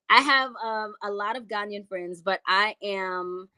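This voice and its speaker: A woman's voice: medium pitch, slow, pushed and bright, clear, resonant tone. A woman from New York City, a music artist, chatting casually in an interview and rambling through her answers with many fillers.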